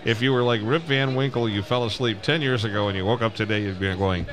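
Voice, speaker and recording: Male radio host, lower register, folksy and meandering, live from a busy crowded floor. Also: fast talker. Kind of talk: casual live radio chat. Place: live broadcast from a busy ham radio convention. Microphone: broadcast microphone with PA amplifier. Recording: crowded convention floor, busy background chatter.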